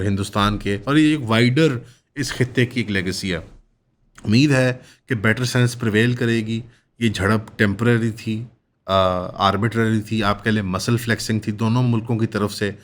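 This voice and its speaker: A man's voice: on the low side, a bit bright. A man with a Pakistani accent giving political commentary as an analyst, in long winding sentences with English terms mixed in.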